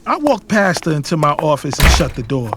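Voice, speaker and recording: A man's voice: on the high side, very animated, brisk, over loud music. A man acting as a defiant, protective young adult son of a boss in an audio drama. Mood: reflective, proud. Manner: assertive, emphatic. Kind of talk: dramatized first-person audio drama narration and dialogue.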